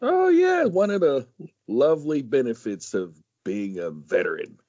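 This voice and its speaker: A man's voice: unhurried, no echo, lo-fi sound. A man in his late thirties from San Diego, a podcast guest chatting casually and swearing freely. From San Diego, USA. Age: 30s. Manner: swears freely, jumps high in pitch when animated. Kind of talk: casual chat.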